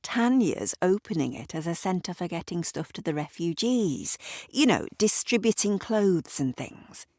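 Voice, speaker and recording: A woman's voice: lowish voice, very animated, unhurried, a little mellow, no room sound, studio quality, exaggerated voice. A woman voicing a chatty, nosy village woman who is eager to pass on gossip.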